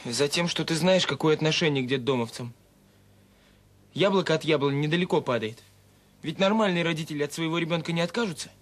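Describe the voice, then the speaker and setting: Guarded young son, husky voice. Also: male voice, fairly high pitch, fast talker, fairly bright tone. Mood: defensive, pleading. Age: young adult. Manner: colloquial, evasive, coaxing. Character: guarded, self-conscious student son confiding in his mother. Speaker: actor in an audio drama. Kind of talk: naturalistic radio drama dialogue.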